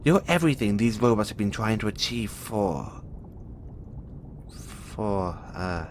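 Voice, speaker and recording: A man's voice: lowish voice, very animated, unhurried, a little hiss or hum. A man playing a huge, imprisoned experiment in an audio roleplay, cocky and bitter, teasing and volatile. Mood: teasing, probing. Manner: teasing, swaggering, swinging from soft murmurs to loud outbursts. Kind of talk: intimate audio roleplay monologue addressed to the listener.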